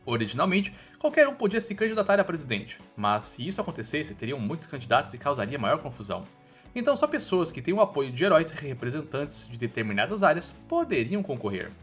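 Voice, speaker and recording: A man's voice: on the high side, sing-song, fast talker, fairly bright tone. A man with a Brazilian accent reads a scripted story recap in an informal, slangy storytelling way. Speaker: recap narrator. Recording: voice-over with background music. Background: music.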